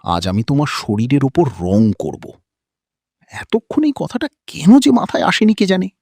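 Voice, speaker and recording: A man playing a bashful, earnest young man in love, tender and curious, in an animated romantic ghost story. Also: on the high side, normal speed, vocal fry, no echo, full character voice. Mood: warm, playful.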